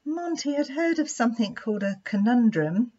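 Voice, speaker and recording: A woman reading a children's story aloud in an expressive, deliberate storytelling manner. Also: fairly high pitch, husky. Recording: dull lo-fi sound.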